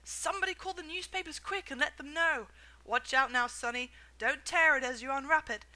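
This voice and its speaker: A woman voicing a fat, kindly male shopkeeper in a storybook reading, who yells when excited. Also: moderate pace. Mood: ecstatic. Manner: booming excited yelling when thrilled.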